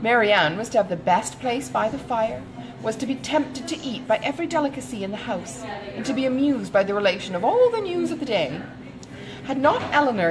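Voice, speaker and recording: A woman narrates a classic novel in a middle-pitched voice with plenty of melodic movement. Her storytelling is measured and expressive, following the long literary sentences with care. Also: poor audio. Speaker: audiobook narrator.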